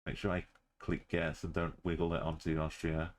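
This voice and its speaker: A man's voice: low-pitched, monotone, normal speed. A man streaming a game, thinking aloud in short muttered phrases. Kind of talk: commentary while playing a game.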